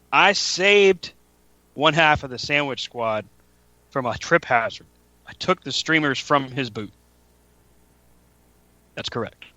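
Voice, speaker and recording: A man's voice: fairly high pitch, pushed and bright, no room sound. A man hosting a wrestling podcast, chatting casually, joking and laughing at himself. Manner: joking, laughs often, self-mocking. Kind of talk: casual chat. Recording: dull lo-fi podcast audio.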